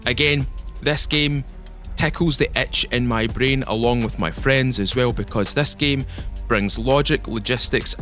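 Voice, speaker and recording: A man's voice: medium pitch, fairly bright tone. A young Scottish man giving a rambling, enthusiastic update on a game for his channel. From Scotland. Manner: rambling, enthusiastic, repeats himself. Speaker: gaming YouTuber. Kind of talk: casual game update commentary.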